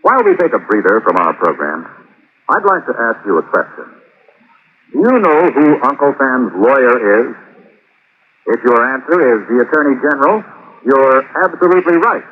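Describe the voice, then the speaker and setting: A man's voice: mid-range voice, sing-song, moderate pace, a little hiss or hum. A man with an American accent reading a scripted 1950s public-service announcement on a tinny old radio recording.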